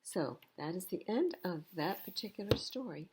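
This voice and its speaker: A woman's voice: lowish voice, expressive, moderate pace, darkish tone, rough recording. A woman reading a picture book aloud to young children, expressively, with explanatory asides about the pictures. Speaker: children's storytime reader.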